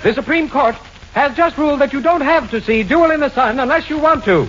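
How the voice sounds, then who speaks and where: A man's voice: very high-pitched, a little fast. A man playing a pompous, emphatic Hollywood gossip reporter in a 1940s radio comedy. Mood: pompous, urgent. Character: self-important, breathless Hollywood gossip reporter. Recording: very noisy muffled 1940s radio recording.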